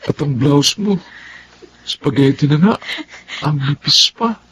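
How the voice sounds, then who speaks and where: Hesitant young Filipino master of the house, slow delivery, mid-pitched, faint noise. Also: male voice, expressive, a bit bright. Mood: flustered. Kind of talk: Filipino radio drama acting.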